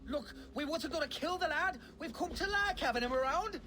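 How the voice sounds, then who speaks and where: An actor in a video game playing a rough kidnapper who pleads and wheedles for mercy. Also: sits high, animated, brisk, sharp tone, soft background music. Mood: panicked, wheedling. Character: grovelling rough kidnapper, pleading for his life. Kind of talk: video game cutscene acting.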